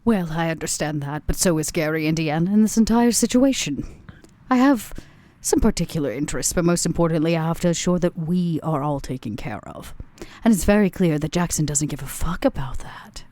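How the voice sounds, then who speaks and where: Female vampire schemer played by a woman at a game table: deep for a woman, dark timbre, commanding, formal, deliberate. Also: lively intonation. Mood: assured, scheming. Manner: formal, deliberate, emphatic phrasing.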